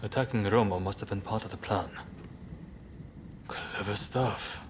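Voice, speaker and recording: Man as a grave, low-voiced rebel leader, noisy. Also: measured pace, close to an everyday voice. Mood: approving, shrewd. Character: serious, shrewd male rebel clan leader, wary. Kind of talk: dramatic video game cutscene acting.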